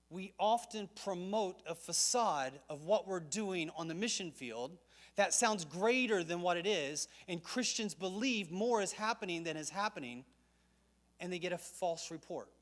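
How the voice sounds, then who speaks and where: A man's voice: high-pitched, fairly bright tone. A middle-aged man giving a conference talk to a church audience, conversational and joking, with asides. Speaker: missions conference speaker.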